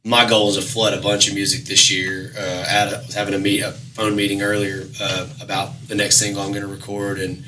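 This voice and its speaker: Raspy man with a bright, distant voice. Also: on the low side, brisk, few pauses, slight background noise. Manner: relaxed, rambling, many fillers and restarts. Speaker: musician guest. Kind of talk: casual interview chat.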